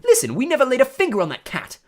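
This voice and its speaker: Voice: mid-range voice, huge pitch swings, a little fast, pitched above the performer's own voice. Narrator doing a touchy schoolboy, blunt and defensive, voice jumping up and down, storybook. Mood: hot, indignant. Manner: tense, gruff, defensive. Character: jumpy, blunt, hot-tempered schoolboy.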